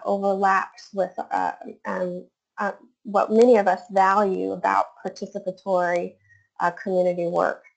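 Hesitant woman, gravelly lo-fi webinar. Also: mid-range voice. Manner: hesitant, many fillers and restarts. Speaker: webinar presenter, researcher. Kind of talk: academic webinar presentation. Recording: dull lo-fi webinar audio.

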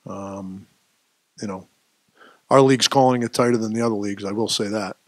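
A middle-aged man as head coach at a postgame press conference, speaking at a table microphone in a press room, measured and dropping off at phrase ends, with many fillers. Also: on the low side, dry recording. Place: press room with sponsor backdrop.

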